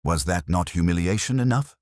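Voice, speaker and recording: An aggrieved, sardonic town councillor, put on by a male narrator in a detective audiobook. He protests scornfully, his deep voice swinging widely in pitch, recorded dry and close. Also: expressive, fairly quick, a little mellow, dry recording.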